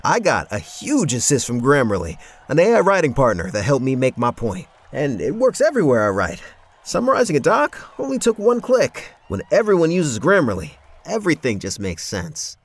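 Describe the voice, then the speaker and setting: A man gives a scripted ad testimonial. His husky voice sits at a middle pitch and swings very widely in melody. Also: wildly swooping pitch, normal speed. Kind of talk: scripted commercial read.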